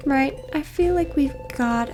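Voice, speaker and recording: A woman with a fairly high voice streams a video game. She reads its text aloud as narration and adds her own commentary, with music underneath. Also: a little slow.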